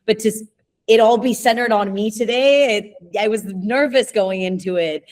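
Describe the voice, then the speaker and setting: A woman's voice: mid-range voice, normal speed, a little mellow. A young adult woman, raised in Spain, chatting openly as a podcast guest and laughing often. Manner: chatty, laughs often, asks herself questions. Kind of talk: casual interview answers.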